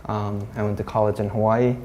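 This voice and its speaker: A young Japanese man from Hong Kong, a glass artist, giving a halting talk about his work in a gallery, with many fillers and restarts. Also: on the low side, level tone, darkish tone, slight background noise.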